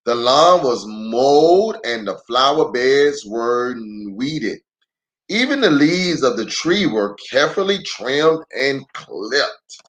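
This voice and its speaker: Slow, animated man reading to children. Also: mid-range voice. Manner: expressive, playful, addresses children directly. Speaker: pastor reading storytime to children. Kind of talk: children's storybook read-aloud with chatty asides.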